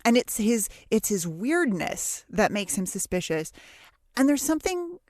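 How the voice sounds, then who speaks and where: A woman co-hosting a podcast, discussing in a casual but analytical way, measured and pausing mid-phrase. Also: on the high side, sing-song, a little mellow, no echo, clean studio sound. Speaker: podcast co-host.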